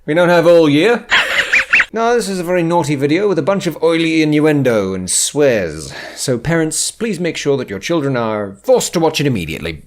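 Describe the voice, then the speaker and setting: A man hosting with scripted comedic narration, theatrical and mock-grand, full of playful flourishes. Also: a little high. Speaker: host.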